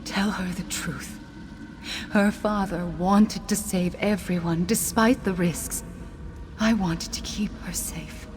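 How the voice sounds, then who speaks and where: An actress voicing the remorseful ghost of a loving mother in a video game, earnest and sorrowful in measured speech. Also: lowish voice, steady tone, music in the background. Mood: solemn, resigned. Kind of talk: dramatic video game dialogue.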